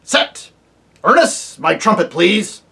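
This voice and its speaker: A reader voicing a very old, deaf and irritable male dwarf who talks loudly and mishears words, in a storybook reading. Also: medium pitch, very animated, slow, gravelly, pitched above the performer's own voice, full character voice. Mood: grumbling. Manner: loud, testy, mishears words.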